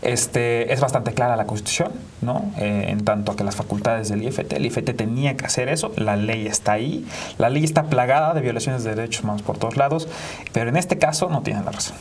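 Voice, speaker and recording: A man's voice: mid-range voice, fast. A man with a Mexican accent giving opinions as a panel guest, emphatic and repeating himself in informal discussion. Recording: slightly distant microphone, faint noise.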